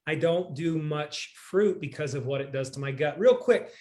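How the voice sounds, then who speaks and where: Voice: medium pitch, normal speed, darkish tone. A host of a community call who keeps encouraging and affirming the guest, chatting casually over a video call.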